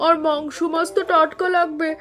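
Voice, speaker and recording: A woman's voice: very high voice, flat delivery, normal speed, a bit bright, faint music behind, pitched above the performer's own voice. A woman voicing a friendly, helpful village neighbour woman in a cartoon. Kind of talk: cartoon voice acting.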